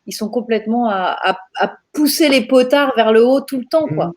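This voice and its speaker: A woman's voice: fairly high pitch, animated, normal speed, a little mellow. A woman panelist talking casually in a discussion, repeating phrases for emphasis.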